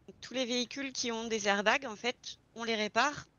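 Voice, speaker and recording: A woman's voice: fairly high pitch, fairly quick, pushed and bright, dry recording, muffled recording. A woman explaining things step by step in an informal, casual roleplay chat. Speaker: experienced garage employee training a new recruit in online roleplay.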